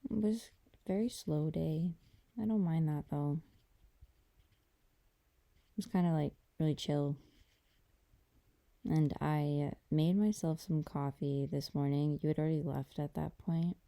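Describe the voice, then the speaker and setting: A woman playing a gentle, affectionate girlfriend in an intimate ASMR roleplay, talking softly and hesitantly to a partner. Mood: relaxed, affectionate. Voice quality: dark, creaky low voice. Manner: soft, hesitant, unhurried conversational delivery.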